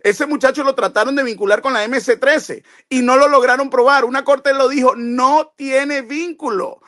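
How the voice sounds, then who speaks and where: Male lawyer, high-pitched, fervent and emphatic. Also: fairly even intonation, moderate pace, fairly bright tone. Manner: passionate, emphatic, rising to outbursts. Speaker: immigration lawyer guest. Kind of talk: impassioned interview answers.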